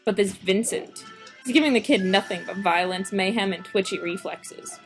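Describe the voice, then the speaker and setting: A hard-boiled detective played by a woman from a noir radio play, tough and no-nonsense. The talk is curt, streetwise and wry, at a middle pitch, over faint background music. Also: moderate pace, close to an everyday voice, poor audio. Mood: brooding narration. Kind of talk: amateur noir radio drama acting.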